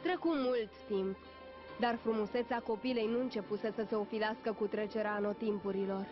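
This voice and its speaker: A woman's voice: medium pitch, steady tone. Woman narrating a fairy-tale cartoon, muffled. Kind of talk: scripted storybook narration for cartoon. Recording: dull lo-fi dub with music behind.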